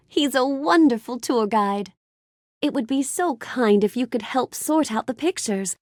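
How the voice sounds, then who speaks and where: Casting: a bookish young storybook heroine in a game; high, swooping, gracious, earnest, dry studio sound. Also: female voice, no room sound, exaggerated voice. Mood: warm, grateful. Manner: gracious, earnest, wonder-filled, precisely polite delivery. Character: sweet, polite, bookish young fairy-tale heroine, curious and dreamy. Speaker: video game character voice actress. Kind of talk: animated game character lines addressed to the player.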